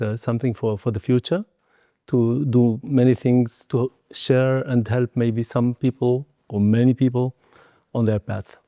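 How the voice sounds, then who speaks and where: Mellow-voiced older man, non-native speaker. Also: mid-range voice, no room sound, clean studio sound. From southern Tunisia. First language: not English. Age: 60s. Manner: hesitant, broken phrasing in non-native English, frequent "you know" and "eh" tags. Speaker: guest, martial arts and Qigong teacher. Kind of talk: reflective personal interview talk.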